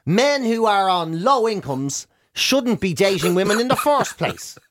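A man's voice: high-pitched, expressive, measured pace, a bit bright, dry recording, studio quality. An Irish man co-hosting a radio show with comic, casual banter, mocking and rambling in colourful slang.

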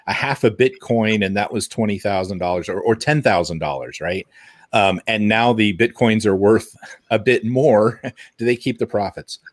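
A man's voice: medium pitch, no echo, studio quality. A man co-hosting a podcast in casual chat, with a wry, joking manner. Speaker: podcast co-host.

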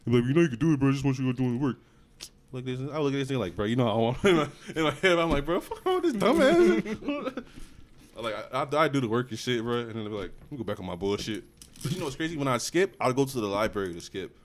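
A man's voice: mid-range voice, big pitch swings, fast. Slangy young man, laughing stories. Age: 20s. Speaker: podcast co-host. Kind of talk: casual chat.

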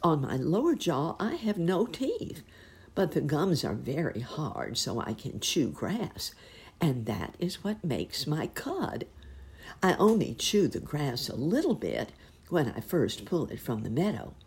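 A woman voicing a worried, mournful cow in a storybook reading, with a high, anxious, sing-song delivery. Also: big pitch swings, a little slow, a little mellow, breathy edge, heavily acted. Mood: explaining. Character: mournful fretful female cow.

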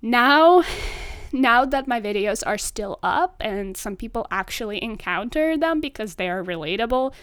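A woman's voice: a little high, expressive, measured pace, fairly bright tone, smooth, no echo, clean studio sound. Young woman, upbeat. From Russian-speaking country. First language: Russian. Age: 20s. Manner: chatty, earnest, upbeat. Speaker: podcast host. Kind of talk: solo podcast monologue.

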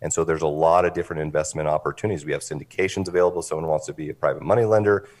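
A man giving an articulate, businesslike pitch as an interview guest, explaining things in a measured, polished way. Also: fairly even intonation, a little mellow. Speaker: interview guest, company representative.

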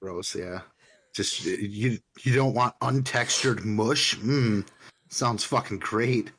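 A man's voice: on the low side, takes it slowly, fairly bright tone, breathy edge. A man co-hosting a casual sports podcast, blunt and sarcastic, swearing freely. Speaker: podcast co-host. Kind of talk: casual chat.

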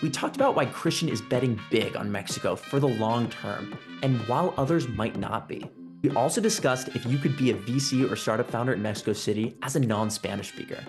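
A man's voice: mid-range voice, a little fast. A man hosting a podcast, reading a scripted episode introduction and then putting a question to his guest. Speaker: podcast host. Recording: music bed under the voice.

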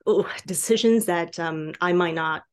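A woman from Taiwan, a native Mandarin speaker, talking as a guest novelist in a conversational podcast interview, halting as she thinks aloud and often saying "like" and "you know". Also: lowish voice, clean tone.

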